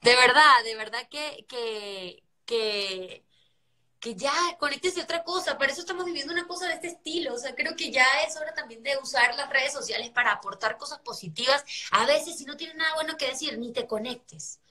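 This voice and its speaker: Bright-voiced Venezuelan woman, mixed accent. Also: sits high, moderate pace. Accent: Venezuelan with a Mexican tinge. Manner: animated, exasperated, laughing asides. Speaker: actress hosting a live stream. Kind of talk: casual live-stream chat. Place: bright home room. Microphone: phone at arm's length.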